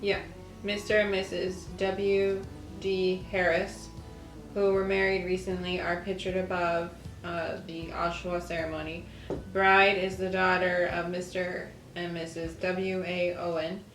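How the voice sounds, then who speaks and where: A woman with a fairly low voice hosts a casual read-along. She chats and reads aloud in a roomy, echoing space. Faint music plays underneath. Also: level tone, unhurried, fairly bright tone. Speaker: host of a lifestyle video channel. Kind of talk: casual chat with reading aloud from an old newspaper.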